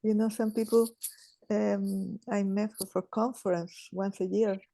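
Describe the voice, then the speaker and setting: A woman, sharing in a group call in a halting, thoughtful way with many restarts. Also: medium pitch, little pitch movement, creaky, dark tone, dry recording. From Chile. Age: 80s. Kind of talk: casual sharing on a video call.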